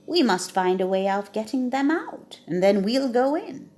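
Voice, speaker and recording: A woman's voice: a little high, very animated, moderate pace, a little mellow, voice pushed up. A big, practical donkey from a children's storybook, acted by a woman. The animal speaks decisively and encouragingly, as leader of the friends. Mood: resolute.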